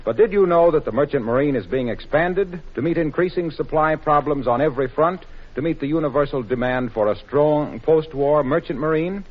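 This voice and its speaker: A man announcing in scripted 1940s radio style, delivering sponsor pitches and appeals. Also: a little fast. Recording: muffled, worn 1940s radio recording.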